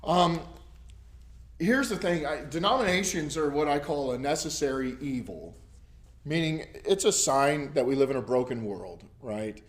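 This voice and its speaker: A man's voice: a little high, expressive, normal speed. A man with a scratchy voice leading a church Bible study, talking casually and rambling with many ums and restarts. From United States. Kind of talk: casual teaching to a small group. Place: church classroom. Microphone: camera recording.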